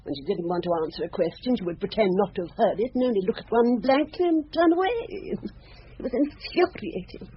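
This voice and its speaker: A woman's voice: fairly high pitch, expressive, brisk, natural voice. Prickly, haughty older landlady played by a woman. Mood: disapproving. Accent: British. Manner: indignant, clipped, disdainful. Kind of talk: British radio drama acting. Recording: dull, hissy radio drama recording.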